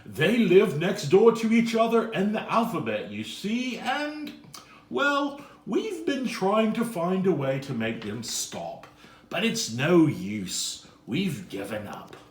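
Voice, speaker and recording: A man's voice: sits high, very animated, slow, roomy sound, slight background noise, voice pushed up, exaggerated voice. A man voicing a courteous, fretful alphabet letter welcoming someone in a children's story. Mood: polite, worried. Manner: courteous, apologetic, explanatory.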